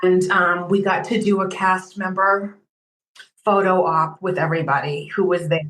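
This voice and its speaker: A woman's voice: lowish voice, fairly even intonation, moderate pace, a bit bright, echoey room, low-quality recording. A woman guest on a podcast, casually sharing her own experiences as a fan. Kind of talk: casual personal storytelling.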